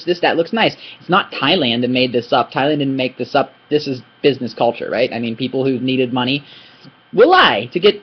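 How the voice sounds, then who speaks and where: A young man giving a casual, animated language lesson from his couch, rambling with many restarts and asides, recorded on a laptop webcam. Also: high-pitched, slightly rough. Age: young adult. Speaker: Thai language teacher. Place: indoor living room, on a couch. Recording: dull lo-fi webcam audio.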